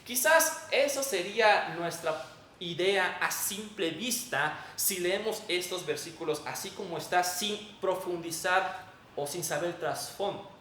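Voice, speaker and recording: A man's voice: high voice, unhurried, fairly bright tone. A man with a Mexican accent preaching a sermon in an emphatic, didactic way. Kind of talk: sermon with Bible reading and prayer. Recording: echoey room, distant mic, faint noise.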